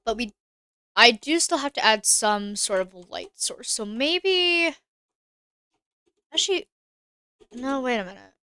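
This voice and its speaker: Woman game streamer, a little high, bright and clear, casual running commentary with chat asides. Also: lively intonation, takes it slowly, pauses often, dry recording, clean studio sound. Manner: chatty, thinks aloud, answers chat.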